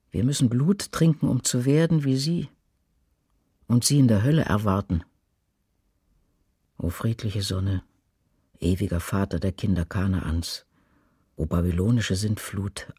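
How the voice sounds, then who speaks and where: A man performing a grave prophetic witness to war in a radio drama, declaiming slowly in a husky, breathy voice. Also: on the low side, a little mellow, dry recording, studio quality. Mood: urgent, invoking. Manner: slow, declamatory, weighty. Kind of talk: dramatic radio poetry recitation.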